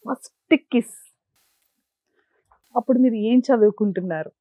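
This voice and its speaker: A woman's voice: a little high, moderate pace, no echo, narrow, tinny audio. A woman with a Telugu Indian accent hosting a casual interview and putting questions to her guest. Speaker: interview host.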